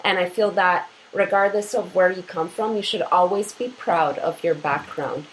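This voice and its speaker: A Canadian-born woman whose first language is Polish, interviewing a guest in an earnest, measured way and often repeating her phrases. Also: fairly low pitch, slight background noise, poor audio.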